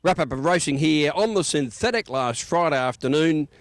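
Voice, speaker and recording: A man's voice: a little high, lively intonation, moderate pace, dry recording, clean studio sound. An Australian man reading a scripted racing report as a news reporter.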